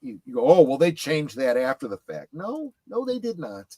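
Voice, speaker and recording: A man's voice: medium pitch, huge pitch swings, moderate pace, warm tone, dry recording. Middle aged guy on a fan panel, stop and start but emphatic, close dry sound. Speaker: panelist on a fan discussion show. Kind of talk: casual chat about films and memorabilia.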